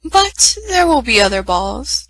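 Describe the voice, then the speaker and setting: A cold, mocking stepmother from a storybook, voiced by a woman reading aloud. Her slightly raised voice sneers with slow, stretched-out words and a lively, sing-song lilt. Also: a little high, slight background noise, exaggerated voice, low-quality recording. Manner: sneering, drawn-out mockery.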